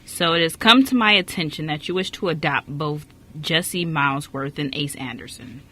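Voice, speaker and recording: A woman's voice: low-pitched, moderate pace, sharp tone. A woman playing a stern, disapproving orphanage director in an amateur radio play, formal and blunt.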